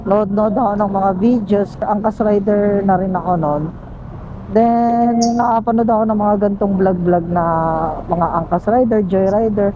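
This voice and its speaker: A woman's voice: medium pitch, steady tone, a little slow, highs cut off. A woman with a Filipino accent chatting casually and jokingly with a passenger as a motorcycle taxi rider and vlogger. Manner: joking, teasing. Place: on a motorcycle on the street. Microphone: helmet intercom headset. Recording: clear traffic and engine noise.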